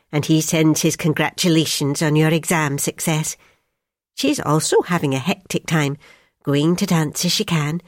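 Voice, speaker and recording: Audio drama: woman to play homely, affectionate mother voicing a letter, gently low register, close dry recording. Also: no room sound. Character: proud, chatty, affectionate mother writing to her airman son. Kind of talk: read-aloud wartime family letter.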